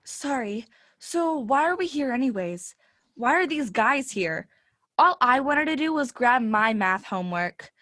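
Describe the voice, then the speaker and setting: A woman playing an eager young schoolgirl in a stage play, loud and whiny. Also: high-pitched, lively intonation, unhurried, dry recording, clean studio sound, exaggerated voice. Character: eager young girl Red, Sam's classmate.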